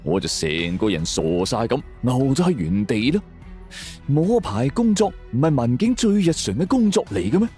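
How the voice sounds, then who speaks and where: A man's voice: medium pitch, sing-song, moderate pace, dark tone. A man with a Cantonese accent narrating a suspense story in the first person, in a dramatic scripted style. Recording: music bed under the voice.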